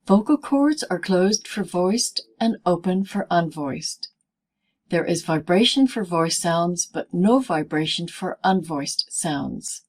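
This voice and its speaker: Slow, deliberate woman teaching pronunciation. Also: lowish voice, lively intonation, a little mellow. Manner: deliberate, clearly segmented teaching delivery. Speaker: pronunciation teacher presenting a video lesson. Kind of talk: scripted instructional narration in easy English.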